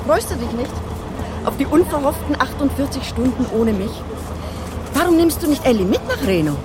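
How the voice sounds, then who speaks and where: An actress in a crime radio play playing a cool, businesslike and sardonic wife. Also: female voice, sits high, lively intonation, normal speed, over music, crowd noise. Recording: noisy outdoor bus station with vehicles.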